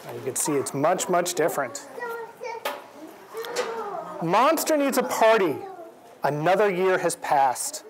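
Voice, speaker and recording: A man in his forties reading a picture book aloud to children in an expressive, measured storytelling way. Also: sits high. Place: indoors, library event room. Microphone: lapel. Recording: clear background noise in room.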